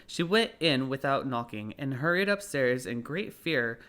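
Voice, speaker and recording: Male audiobook narrator, medium pitch, reading storybook prose. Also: lively intonation, a little slow, bright, forceful tone.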